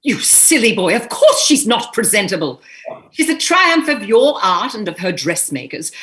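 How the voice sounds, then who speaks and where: A genteel older lady of a period stage comedy, acted by a woman, shrewd and exasperated. Her mid-pitched voice swings dramatically as she delivers crisp, pointed scoldings. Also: very animated, moderate pace, a bit bright. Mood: exasperated, fond.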